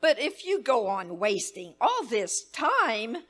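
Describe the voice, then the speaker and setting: A woman's voice: a little high, very animated, slow, fairly bright tone, exaggerated voice. A woman playing a demanding, frantic wealthy woman who scolds the telephone operators, in an imperious, fretful voice, in a live staging of an old-time radio play. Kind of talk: live radio play staged as 1930s radio acting.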